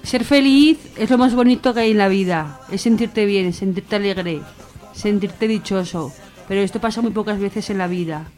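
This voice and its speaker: Radio panel woman, medium-pitched, conversational, soft music behind. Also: normal speed. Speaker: radio discussion participant. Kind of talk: casual radio discussion.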